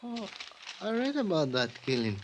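A man's voice: high-pitched, big pitch swings, normal speed. A man playing a nervous, folksy taco-counter worker in an old radio drama, rambling and evasive with hesitant phrasing. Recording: noisy old radio broadcast.

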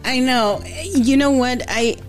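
A woman hosting a podcast in casual chat, with a calm, soothing voice, slipping Spanish phrases into her English. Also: sits high, soft background music. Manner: relaxed, slow, mixing Spanish into English. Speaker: podcast host.

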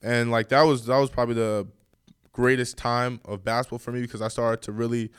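A man's voice: mid-range voice, level tone, brisk, clean tone. A young man from New York and New Jersey chatting casually and emphatically as a college basketball player being interviewed on a podcast. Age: young adult.

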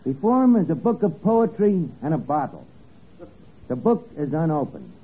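A man's voice: fairly high pitch, big pitch swings, moderate pace. An actor playing a bossy, slangy, scheming tavern man in old radio comedy, wisecracking and mangling his grammar. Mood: bossy, self-important. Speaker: comic lead of a radio sitcom. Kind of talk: 1940s-50s radio comedy acting. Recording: scratchy, noisy, narrow-band old radio recording.

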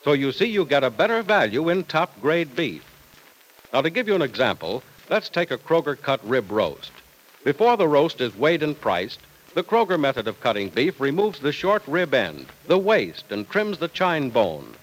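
A man's voice: fairly high pitch, very animated, moderate pace, low-quality recording. A man announcing on old-time radio, delivering scripted sales copy and narration with emphatic, careful phrasing.

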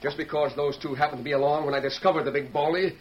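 A man's voice: a little high, steady tone, fast, close to an everyday voice. A man playing a scheming, resentful mine manager, curt and guarded, in an old western radio drama. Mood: bitter, resentful. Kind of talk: 1940s western radio acting. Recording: dull old radio recording, faint noise.

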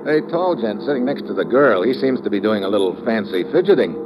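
An actor playing a wry, hard-boiled American investigator, terse and deadpan, narrating an old-time radio thriller. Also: male voice, on the low side, quick pace, noisy background, lightly acted. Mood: dry, wry. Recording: muffled vintage radio recording, dramatic music.

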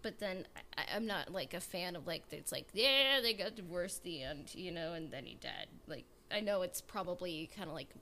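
A woman's voice: lowish voice, lively intonation, normal speed, hardly any pauses, bright, forceful tone. A woman chatting informally in a fan discussion, peppering her sentences with "like". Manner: informal, frequent "like" as filler. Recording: clear background noise.

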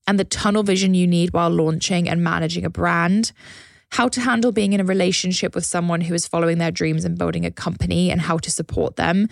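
A woman hosting a podcast in a casual, enthusiastic, chatty way, her voice slightly hoarse as she loses it. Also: on the low side, dry recording, clean studio sound. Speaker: podcast host.

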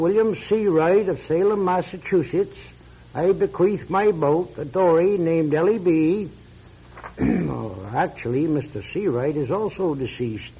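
Fussy, high-pitched lawyer, muffled radio. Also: male voice, warm tone, a little hiss or hum. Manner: formal, hesitant, throat-clearing. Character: polite, fussy male lawyer reading a will. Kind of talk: classic radio drama acting. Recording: dull lo-fi radio recording.

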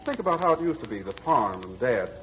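A man's voice: medium pitch, sing-song, quick pace, a bit bright. An actor playing a breezy, impatient young man in old-time radio drama, boyish and slangy. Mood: probing, reflective. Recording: muffled old radio recording, music behind.

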